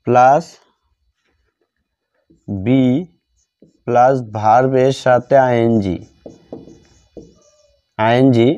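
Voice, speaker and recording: Slow, mellow Bengali man teaching. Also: mid-range voice, highs cut off. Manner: halting, repetitive, word-by-word delivery. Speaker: English grammar teacher. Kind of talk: step-by-step grammar lesson, dictating as writing.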